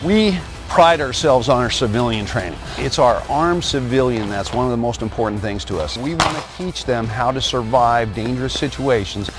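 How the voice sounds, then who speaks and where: A man pitches a sponsor in a proud, plain-spoken way at a middle pitch. Clear music plays behind him. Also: lively intonation, normal speed. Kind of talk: promotional ad.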